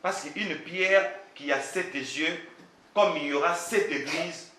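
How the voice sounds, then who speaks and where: A man's voice: fairly high pitch, slow, sharp tone, echoey room, a little hiss or hum. A man preaching a sermon as pastor, emphatic and full of rhetorical questions; French is not his first language.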